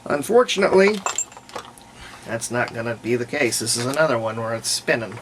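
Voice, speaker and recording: A man's voice: mid-range voice, lively intonation, brisk, a bit bright, poor audio. A man giving casual, unscripted workbench commentary, thinking aloud as he works through a hobby restoration. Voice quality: gravelly, creaky. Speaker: hobbyist restorer presenting a repair video. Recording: clear background noise.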